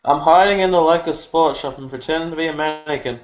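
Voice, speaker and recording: A man's voice: a little high, fast talker, reverberant, highs cut off. A man playing a tabletop game who laughs between lines as he describes his character's actions. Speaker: player. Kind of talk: casual tabletop roleplay chat.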